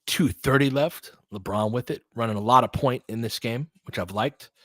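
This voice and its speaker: A man's voice: mid-range voice, moderate pace, fairly bright tone, no room sound, studio quality. A man doing informal basketball play-by-play as a host, chatting conversationally and thinking aloud. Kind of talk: casual basketball commentary mixed with chat replies.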